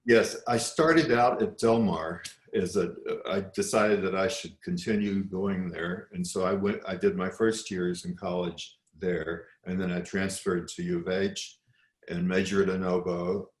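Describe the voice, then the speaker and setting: An older American man from South Texas speaks in a notably low register. He talks reflectively as an interview guest, often doubling back and restarting phrases. The room adds a clear echo around his voice. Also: fairly even intonation, unhurried. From South Texas, United States.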